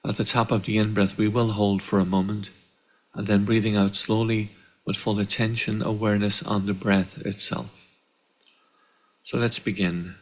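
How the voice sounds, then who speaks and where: A man leading a guided meditation in a calm, measured way, with deliberate pauses between short phrases. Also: fairly low pitch, poor audio. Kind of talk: guided meditation, slow and instructional. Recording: live online session.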